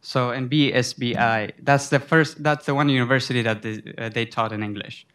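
A man's voice: medium pitch, steady tone, a little fast, fairly bright tone, clean tone. A young man from Afghanistan, a student on a panel, telling a long rambling story full of restarts. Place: college library.

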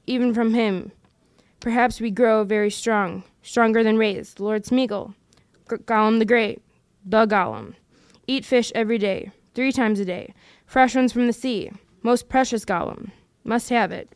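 A woman's voice: a little high, clean tone, no room sound, clean studio sound. A woman voicing a wretched, split-minded male creature who wheedles and argues with himself in an audiobook reading. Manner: wheedling, hissing self-argument.

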